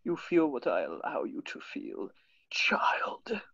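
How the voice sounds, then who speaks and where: A man voicing an ancient alien Sith master in a fan table read, raspy and creaky, mocking and menacing in manner, over a video call. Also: on the high side, wildly swooping pitch, a bit bright, no room sound, whispered, highs cut off, full character voice. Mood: mocking menace. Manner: slow, menacing, amused. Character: ancient spindly alien Sith master, seven thousand years old, mocking.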